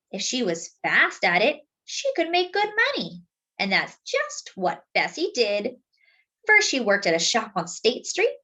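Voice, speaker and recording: A woman reading a children's book aloud as a storyteller, with expressive narration, deliberate phrasing and drawn-out words for emphasis. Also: mid-range voice.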